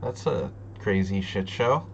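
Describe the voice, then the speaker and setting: A man streaming chess, thinking aloud in casual, deliberating commentary, muttering to himself between pauses. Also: deep voice, animated, gravelly. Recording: dull lo-fi sound, loud hum.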